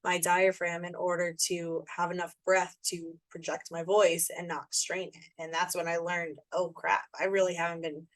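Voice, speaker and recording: A woman with a raspy voice, co-hosting a podcast and chatting agreeably with her guest. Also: on the low side, no echo, low-quality recording. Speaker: podcast co-host, yoga teacher. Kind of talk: casual chat.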